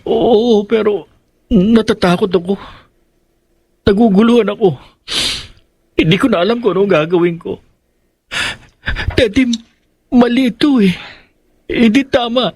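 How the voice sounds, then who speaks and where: A man as high-voiced, anxious young fugitive. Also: unhurried, long pauses, darkish tone, breathy edge, dry recording, exaggerated voice. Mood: troubled, pleading. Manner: hesitant, anxious, pleading. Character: frightened, guilt-ridden young man in hiding. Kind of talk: horror audio drama acting.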